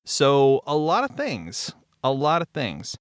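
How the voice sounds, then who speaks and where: Slow, deliberate man explaining his work. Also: medium pitch, huge pitch swings, dry recording, studio quality. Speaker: show writer and podcast guest. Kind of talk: casual explanatory discussion.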